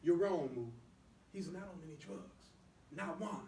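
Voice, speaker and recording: A man's voice: a little high, unhurried, mellow, close to an everyday voice. A man acting a protective, hot-tempered older brother in a stage play skit, talking in a colloquial, confrontational way. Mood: disbelieving. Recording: roomy, distant mic, noisy background.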